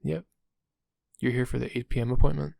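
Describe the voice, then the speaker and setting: An actor playing a friendly but subtly sinister dental receptionist in an audio roleplay, casual and polite, with a husky, gravelly voice. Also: male voice, mid-range voice, steady tone, fairly quick, no echo. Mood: friendly, businesslike. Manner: casual, polite, quietly insinuating. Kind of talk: intimate audio roleplay acting.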